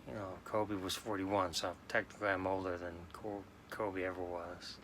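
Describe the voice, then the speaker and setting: A man in his late forties from New York, talking slowly and haltingly in a sad, subdued way as a sports card vlogger. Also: sits low, level tone, a bit bright, a little hiss or hum. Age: 40s. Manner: halting, subdued, many restarts. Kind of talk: casual personal talk to camera.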